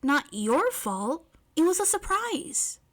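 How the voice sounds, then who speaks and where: An excitable teenage girl inventor from an audio story, performed by a woman. She is high-voiced, stubborn and melodramatic, ready to argue her case as if everything were at stake. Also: slow, exaggerated voice. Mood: earnest. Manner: melodramatic, argumentative.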